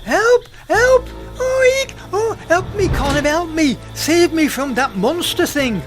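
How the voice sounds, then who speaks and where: A man's voice: very high voice, animated, takes it slowly, over music, voice pushed up, full character voice. A man voicing a woman spy who shrieks in panic, her put-on French accent slipping into Yorkshire. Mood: terrified. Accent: fake French, slipping to Yorkshire.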